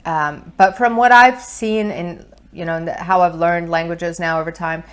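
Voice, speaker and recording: Chatty woman with a lowish voice. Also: steady tone, a little slow, smooth. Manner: chatty and explanatory, lots of fillers. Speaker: co-host, online seller. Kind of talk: casual advice chat. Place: indoor living room on a couch. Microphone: lapel mic.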